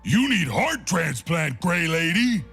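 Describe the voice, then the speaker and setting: Game brute, self-styled doctor: dim, crazed, booming, broken grammar. Husky and slow, over music. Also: male voice, big pitch swings, pushed and bright, full character voice. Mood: loud, eager. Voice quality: gravelly, raspy. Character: big crazed dim-witted brute who believes he is a doctor. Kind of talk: video game character voice lines.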